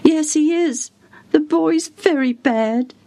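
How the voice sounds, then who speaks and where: A woman voicing a tearful, devoted elderly female servant who speaks high and quavering, close to sobs. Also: slow, dark tone, dry recording. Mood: flustered.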